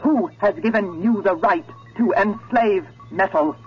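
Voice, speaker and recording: An actress playing a coldly menacing, sentient female computer in a 1970s radio drama, speaking slowly, deliberately and imperiously. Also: medium pitch, soft background music, natural voice. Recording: dull vintage radio drama recording.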